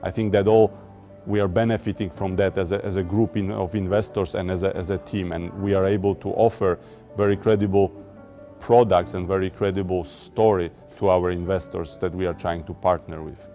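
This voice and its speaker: A man from Poland, talking about his investment work in a corporate interview, measured and often repeating words as he looks for the phrasing. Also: deep voice, little pitch movement, warm tone. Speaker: emerging market debt investor. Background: faint music.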